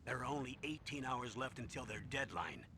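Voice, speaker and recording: A man's voice: medium pitch, lively intonation, a little fast, creaky, noisy background. An actor playing a commanding older colonel who briefs his agent in a video game. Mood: urgent.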